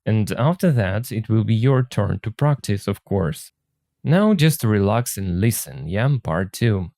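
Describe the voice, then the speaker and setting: A man's voice: on the low side, animated, unhurried, dark tone, no echo, clean studio sound. A man giving an English lesson from a textbook, instructional but informal, adding casual comments as he goes. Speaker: English teacher.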